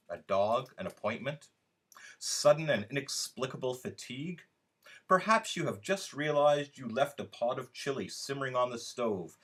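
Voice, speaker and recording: A man's voice: mid-range voice, fairly bright tone. Man reading as a needy, sarcastic, aggrieved storyteller. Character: needy, sarcastic, aggrieved lonely storyteller addressing a bored companion. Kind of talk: dramatic first-person literary reading.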